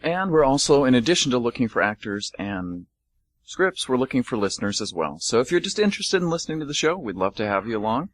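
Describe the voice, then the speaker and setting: A young man co-hosting a college radio theater show, talking in a relaxed, hesitant way with fillers. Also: medium pitch, fast talker, no echo. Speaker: co-host.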